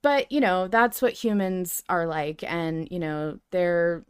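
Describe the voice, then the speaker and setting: A woman's voice: fairly low pitch, animated, slow, smooth, dry recording, studio quality. A woman podcast co-host chatting casually and analytically as a fan, in an effusive, emphatic way.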